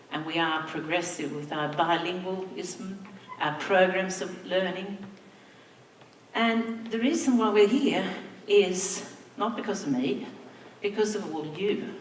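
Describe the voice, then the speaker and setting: An older woman from Sweden, a native Swedish speaker, giving a slow, reflective anniversary speech to school staff as their principal. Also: on the low side, animated, a little hiss or hum. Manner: slow, reflective, warm thanks with soft laughs. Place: large hall, on stage. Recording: echoey hall from far off.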